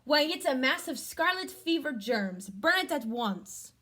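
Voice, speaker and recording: A woman's voice: sits high, sing-song, unhurried, bright, forceful tone, full character voice. A woman voicing a brusque, curt doctor in a children's storybook, giving emphatic orders. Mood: dismissive, stern. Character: brusque doctor ordering a germy toy destroyed.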